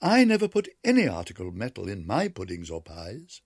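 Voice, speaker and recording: A man's voice: sits low, wildly swooping pitch, a little fast, breathy edge, dry recording, clean studio sound, full character voice. A man doing a prim, proper lady cat. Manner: primly polite, disapproving.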